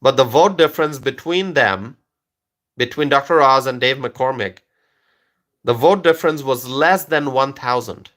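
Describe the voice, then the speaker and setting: A man's voice: medium pitch, measured pace, a bit bright. A man from Bangladesh whose first language is Bengali, hosting a live stream where he talks through politics and answers chat questions, repeating points for emphasis and checking in with "okay?". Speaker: livestream political commentator.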